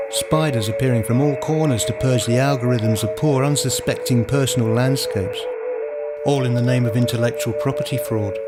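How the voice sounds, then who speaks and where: A man reading dramatic, literary first-person narration for a radio play in a deliberate storytelling manner. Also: mid-range voice. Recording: music behind the voice. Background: music.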